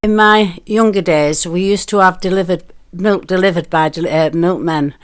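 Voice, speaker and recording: A woman's voice: lowish voice, moderate pace. A woman in her eighties, a retired nurse, answering interview questions in a hesitant, reminiscing way. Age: 80s.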